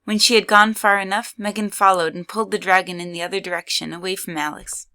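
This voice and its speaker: Bright-voiced woman narrating a story. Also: medium pitch, level tone, fast.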